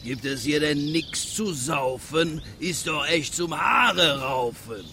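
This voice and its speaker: A sour, grumbling Prussian in a comic radio play. His voice is fairly high and slow, griping in rhyme with faint background noise. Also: animated, bright, forceful tone, heavily acted. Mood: impatient. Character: grumpy, thirsty bald Prussian man, sour griper.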